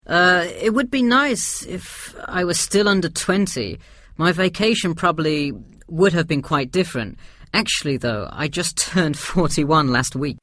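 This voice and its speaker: A man's voice: on the high side, unhurried, pushed and bright, dry recording. A man playing an easygoing middle-aged tourist who gives agreeable, explanatory answers in a scripted listening-test dialogue. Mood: relaxed, candid. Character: easygoing forty-one-year-old male tourist answering survey. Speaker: survey respondent.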